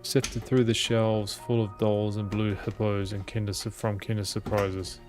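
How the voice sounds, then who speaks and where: A man reading a visual novel aloud as a gaming host, in measured storytelling with occasional stumbles. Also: lowish voice, flat delivery, darkish tone. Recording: game music behind the voice.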